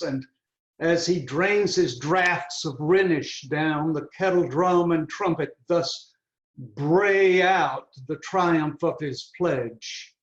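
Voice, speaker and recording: A man reading the part of a brooding young prince in a Shakespeare play, grave and declamatory, over a video call. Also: fairly high pitch. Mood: brooding, disdainful. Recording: roomy, slightly dull video-call audio.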